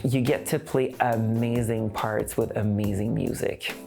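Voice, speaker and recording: Guy chatting in an interview, gravelly creaky bits, bit hesitant, light music under him. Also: lowish voice, steady tone, measured pace, a little mellow. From Quebec, Canada. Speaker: interview guest, circus artist and opera singer. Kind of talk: casual interview answers. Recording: music playing behind the voice. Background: background music.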